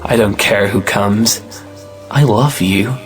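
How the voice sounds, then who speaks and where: A woman acting a cocky, amorous young gentleman suitor in a stage comedy audio play. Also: a bass voice, slow pace, faint music behind. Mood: amorous, insistent.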